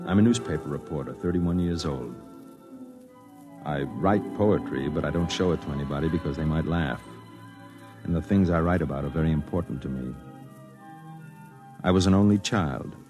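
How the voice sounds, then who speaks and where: A low-pitched American man as a brooding, lonely reporter in his thirties, confessing his story in a vintage radio drama. His delivery is measured and sensitive, with music playing clearly behind him. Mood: brooding, confessional. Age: 30s. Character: lonely, sensitive thirty-one-year-old newspaper reporter and secret poet. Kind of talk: 1950s radio drama narration.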